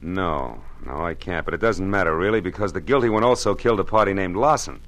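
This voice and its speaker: A man's voice: deep voice, animated, a little fast, fairly bright tone. An actor playing a tough, wisecracking private eye who narrates his own case in first person, in old-time radio drama style. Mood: pressing. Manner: hard-boiled, wry first-person narration. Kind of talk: 1940s radio drama acting.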